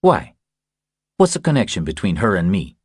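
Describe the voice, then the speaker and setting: A man's voice: deep voice, sing-song, moderate pace, slightly rough, no room sound, studio quality, pitched above the performer's own voice, full character voice. A man voicing a sour, defensive male state trooper in an audiobook, curt, stubborn and dismissive. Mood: dismissive, offended.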